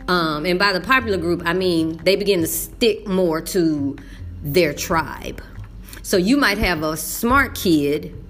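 Emphatic Texan woman teacher, low voice. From Texas. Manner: folksy and emphatic, drops into classroom voices. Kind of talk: casual talk to camera.

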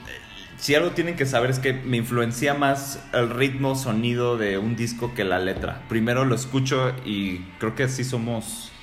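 A young man from Venezuela co-hosting a casual chat about favorite albums, enthusiastic and slangy. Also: medium pitch. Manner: enthusiastic, slangy, interjects often. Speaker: podcast co-host. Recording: echoey room with faint music.

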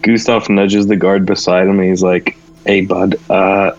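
A man describing his character's actions in a tabletop game, deadpan. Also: sits low, measured pace, muffled recording, background noise. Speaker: player.

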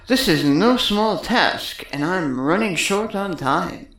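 A woman's voice: on the low side, lively intonation, takes it slowly, roomy sound. A woman playing a cynical, vain and condescending electrical technician in a farcical audio comedy. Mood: sarcastic, dismissive. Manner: sarcastic, condescending.

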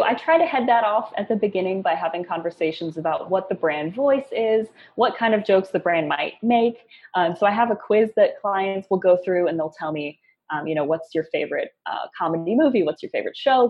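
A woman guest who writes conversion copy, talking in a casual, upbeat way with quick asides and self-deprecating humor. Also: lowish voice, dull audio. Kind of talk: casual interview talk.

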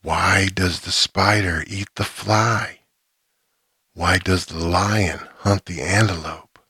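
A man voicing a monstrous insect creature with a human face, speaking in a deep, buzzy hiss, slow and menacing. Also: fairly even intonation, sharp tone, husky, dry recording, clean studio sound, exaggerated voice. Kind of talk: horror story narration.

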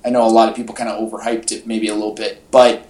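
A man's voice: lowish voice, little pitch movement, fast, darkish tone. A man co-hosting a podcast in casual chat, talking informally like an enthusiastic fan. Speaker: podcast co-host. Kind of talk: casual pop-culture chat. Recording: echoey room podcast audio.

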